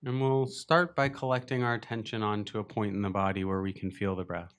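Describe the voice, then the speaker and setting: A man leading a guided meditation as a teacher, speaking gently and unhurriedly with long pauses. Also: lowish voice, steady tone, clear, resonant tone.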